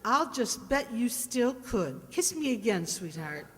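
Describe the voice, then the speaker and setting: An actress playing a chirpy, coaxing young wife in an old-time radio comedy. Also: female voice, a little high, big pitch swings, a little slow, fairly bright tone. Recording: echoey hall, long reverb.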